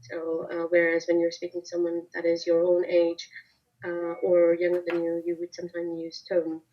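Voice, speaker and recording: A woman's voice: on the low side, completely flat delivery, normal speed, mellow. A woman from Pakistan whose first language is Urdu, chatting on a video call with many fillers and restarts. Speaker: guest. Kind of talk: casual video call chat. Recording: muffled lo-fi video call audio.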